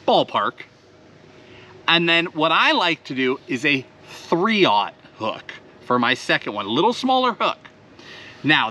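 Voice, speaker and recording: Bright-voiced man teaching from a boat. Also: medium pitch. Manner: enthusiastic, explains gear step by step. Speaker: fishing channel host. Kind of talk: instructional fishing talk to camera. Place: sitting on a bass boat on a wooded lake, outdoors.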